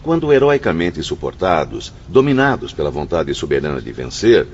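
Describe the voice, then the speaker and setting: An actor playing a solemn spirit pupil who recites precepts in a formal, emphatic way for a radio drama. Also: male voice, extremely low pitch, big pitch swings, normal speed, exaggerated voice. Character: João, solemn, encouraging male spirit pupil reading precepts. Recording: dull recording with faint background noise.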